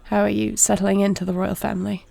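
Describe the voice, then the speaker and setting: A woman playing a duty-bound, caring warrior princess in an audio roleplay, speaking to the listener in a formal, measured, creaky voice. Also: medium pitch, flat delivery. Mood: composed, wry. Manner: formal, measured, soft-spoken speech.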